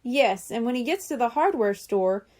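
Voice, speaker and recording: A woman reading aloud while voicing a gentle, organized mother in a children's storybook. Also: a little high, expressive, normal speed, natural voice. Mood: motherly. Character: warm, organized mother planning a surprise.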